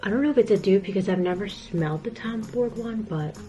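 A young American woman talks to camera in a casual, chatty vlog style. Her voice sits at an ordinary middle pitch, and she speaks conversationally, as if to friends. Music plays clearly behind her. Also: brisk. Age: young adult. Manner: conversational, frequent "like" and "honestly". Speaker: vlogger. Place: small indoor rooms at home, bedroom. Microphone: camera at arm's length or on a desk. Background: background music.

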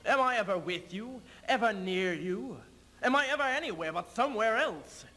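A young man playing an aging, embattled English king in a theatrical stage reading, bitter and mocking, with deliberate, declamatory speech. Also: high-pitched, animated, bright, forceful tone. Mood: anguished, indignant. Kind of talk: theatrical readers theater duo performance. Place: stage in front of curtain. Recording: noisy amateur stage video sound.

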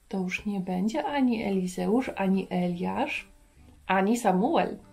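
A woman's voice: mid-range voice, dark tone. A middle-aged woman hosting a children's Bible lesson, speaking directly and encouragingly to her young viewers. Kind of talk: presenting to children. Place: furnished living room indoors.

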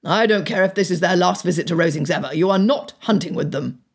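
A woman voicing a stern, exasperated gentleman father in an audiobook, with a gruff, commanding and formal manner. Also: lowish voice, quick pace. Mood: angry, unyielding. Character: stern, exasperated titled father of a wilful daughter. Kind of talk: audiobook character dialogue.

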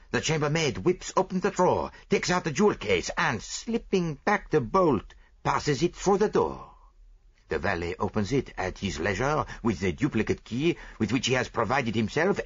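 A man's voice: mid-range voice, a bit bright, slightly rough, lo-fi sound, voice pushed up, exaggerated voice. A man voicing a vain, fussy little detective with precise, foreign-flavored phrasing, read as an audiobook character.

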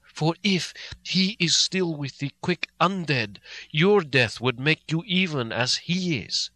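A man voicing a solemn elderly professor who speaks slowly and heavily, pleading with grave fatherly weight. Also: fairly high pitch, expressive, sharp tone, dry recording, voice pushed up, heavily acted. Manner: slow, heavy, deliberate pleading.

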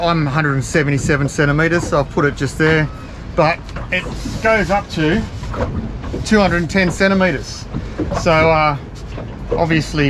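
A man's voice: a little high, background noise. Chatty Australian man on a boat. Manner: chatty, rambling, self-deprecating. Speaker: boating vlogger. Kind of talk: casual vlog narration. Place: on a small open motorboat on a harbour, outdoors. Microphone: camera mounted on the boat.

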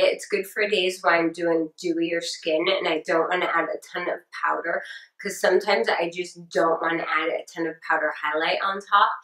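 Hoarse young female beauty vlogger, low-pitched and chatty, recorded in a roomy space. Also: fairly bright tone. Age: young adult. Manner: chatty and upbeat, repeats words for emphasis. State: sore throat, losing her voice. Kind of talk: casual product review to camera. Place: small room indoors with shelves. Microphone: camera at close framing.

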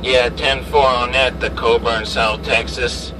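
A man's voice: mid-range voice, little pitch movement, unhurried. Man on CB, distant and noisy. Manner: repeats callsigns and phrases. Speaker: CB radio operator. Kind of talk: CB radio chat. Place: inside a vehicle. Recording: distant mic, clear vehicle cabin noise. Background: vehicle and ventilation noise.

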